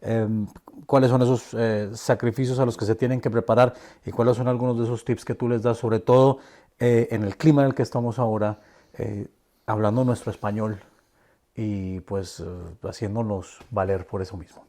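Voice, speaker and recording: A man hosting an interview, relaxed and friendly, with short affirming replies. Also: fairly low pitch, normal speed. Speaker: interview host. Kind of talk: conversational interview.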